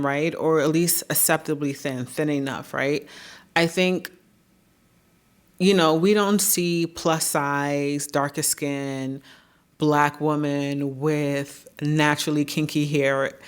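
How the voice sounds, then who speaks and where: Deep-voiced woman, earnest and measured. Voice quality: naturally deep voice. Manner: earnest, measured, often tags sentences with "right?". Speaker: YouTube creator. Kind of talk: reflective talking-head commentary.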